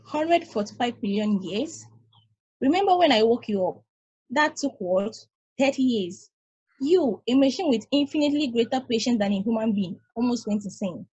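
A woman reading as a weary ancient space probe with a dark husky voice. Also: a little high, normal speed, dry recording, highs cut off. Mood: lecturing. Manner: calm, reasoning, explaining at length. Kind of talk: table read over video call. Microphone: video call.

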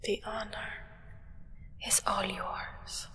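A woman playing a solemn, devoted human acolyte of a vampire sovereign in an ASMR roleplay, speaking in a formal, reverent, breathy whisper. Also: medium pitch, level tone, bright, forceful tone, big-room sound. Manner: slow, measured, reverent, formal.

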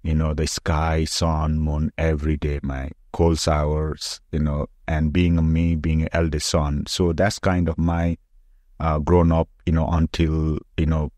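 A middle-aged man from Nepal with a Nepali accent, telling his story casually and haltingly as an interview guest. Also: extremely low pitch, monotone, a little mellow, dry recording, studio quality. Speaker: podcast guest, former soldier. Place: small indoor room with curtains. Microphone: close podcast microphone on arm.

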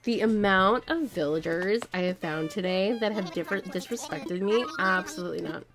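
A woman's voice: lowish voice, moderate pace. Woman streamer chatting over her game, kinda dramatic and begging a lot, voice getting worn out, music playing underneath. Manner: chatty, pleading, playfully dramatic. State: losing her voice. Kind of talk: casual gameplay commentary.

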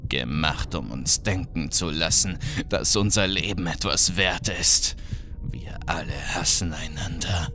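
A sinister little man with a smeared face, as a woman narrator puts him on, speaks in an extremely deep, pitched-down voice. He delivers slow, cold, menacing taunts, and music plays clearly behind him.

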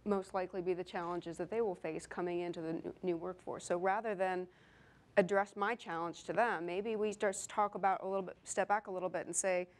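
A woman's voice: lowish voice, steady tone, a little fast. Earnest woman engineer on a panel. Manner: earnest, with many repeated words and light humor. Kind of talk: panel discussion. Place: stage of a conference panel. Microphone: lapel mic.

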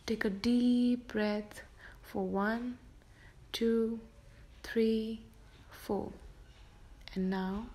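A woman softly whispering a guided sleep meditation for children, slow and gentle. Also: a little high. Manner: soft whispered delivery.

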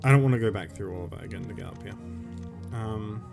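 A man rambling casually and swearing while playing a game, his voice going hoarse. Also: fairly low pitch, quick pace, over music. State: losing his voice. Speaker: gaming YouTuber. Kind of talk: casual let's-play commentary.

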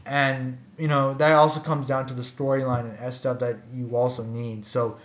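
A man's voice: mid-range voice, normal speed. Rambling young man on a webcam. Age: young adult. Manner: rambling, filler-heavy, animated gestures. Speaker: comic book reviewer. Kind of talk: casual webcam review. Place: bedroom with ceiling fan, indoors. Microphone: webcam built-in mic. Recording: roomy, dull lo-fi webcam audio.

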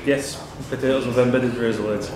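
A man's voice: mid-range voice, steady tone, fairly quick. A man acting as question master, reading out quiz questions and ruling on answers in a pub room. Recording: roomy pub recording, chatter around. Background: people talking in the pub.

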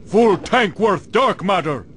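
An actor playing a big, grateful alien temple leader in a cartoon game, declaiming in simple broken phrases. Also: male voice, high voice, animated, takes it slowly, a bit bright, a little hiss or hum, heavily acted. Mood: jubilant, hospitable.

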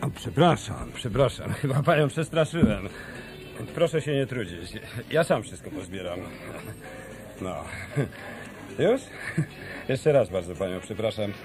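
A man's voice: on the low side, lively intonation, measured pace, long pauses, music in the background, low-quality recording. An actor playing a brash, slangy, streetwise tough guy in a hardboiled radio drama. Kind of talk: 1990s radio drama acting.

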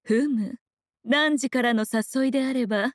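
A woman acts out an ageless fox priestess from an anime game, sly and regal. Her voice sits slightly high and moves slowly, with haughty, teasing, archaic turns of phrase. The recording is close and dry. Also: no echo, close to an everyday voice. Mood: teasing, composed. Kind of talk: Japanese anime game voice acting, battle lines.

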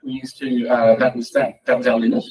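A man's voice: mid-range voice, little pitch movement, brisk, a little mellow. A man speaking informally at a tech meetup, answering questions with hesitant, self-correcting starts. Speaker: conference speaker. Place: indoor meeting room, speaking at a lectern. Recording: distant mic in a meeting room.

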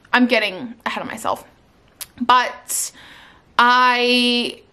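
A young Canadian woman gives casual life updates to the camera from her living room sofa. She talks chattily with many fillers. Her voice is bright and a little high. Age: young adult. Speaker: vlogger. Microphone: camera in front, seated.

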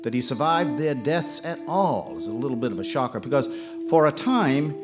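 Small-town local narrator played by a male actor, folksy and plainspoken, medium pitch, grim audio drama storytelling. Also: lively intonation, moderate pace, loud music behind the voice, natural voice. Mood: reflective, grim.

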